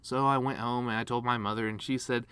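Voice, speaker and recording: Man acting as bitter grieving cartoon horse, sardonic deadpan, rambling eulogy monologue, mid-pitched male voice, dry close sound. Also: fairly quick, clean tone, no room sound, lightly acted. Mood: wistful, sardonic. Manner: sardonic, rambling, self-deprecating deadpan humor. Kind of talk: dramatic monologue reading.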